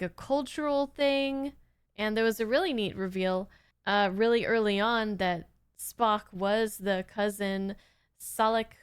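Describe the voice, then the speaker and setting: Smooth-voiced woman chatting slowly. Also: medium pitch, no room sound. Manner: appreciative, thoughtful. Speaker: reaction video host. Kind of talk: casual, reflective chat.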